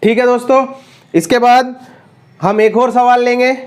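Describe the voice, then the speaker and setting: A man's voice: very high voice, fairly even intonation, moderate pace, clean tone. A man with a sore throat teaching an exam-prep math lesson, working through problems step by step and addressing students as friends.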